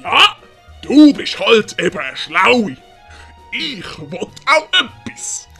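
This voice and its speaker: A woman voicing a sly, scheming man who wheedles slowly in an audio play. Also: mid-range voice, big pitch swings, a bit bright, soft background music, full character voice. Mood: scheming, pleased. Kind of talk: German-language audio play acting.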